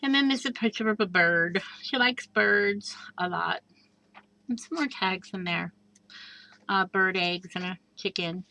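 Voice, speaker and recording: A woman with a bright, clear voice chats through a craft walkthrough. She talks casually and gushes over each piece, slipping in soft asides and little fillers. She is recorded indoors at a craft table, filmed from above. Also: medium pitch, a little slow. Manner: chatty and gushing, with many fillers and soft asides. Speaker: crafter showing a handmade junk journal. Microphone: overhead camera above a craft table.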